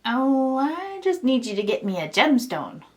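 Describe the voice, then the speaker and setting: Storybook sprite, acted by a woman: small grumpy male, dismissive grumbler, swooping lively intonation. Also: high-pitched, a little slow. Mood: pushy, dismissive.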